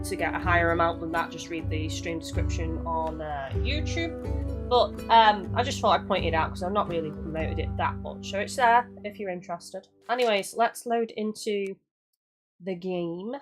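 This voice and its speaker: Woman, young, game streamer, lowish voice, worn voice, soft music behind. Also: steady tone, normal speed. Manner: chatty, rambling, chats with viewers. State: losing her voice. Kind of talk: live gameplay commentary.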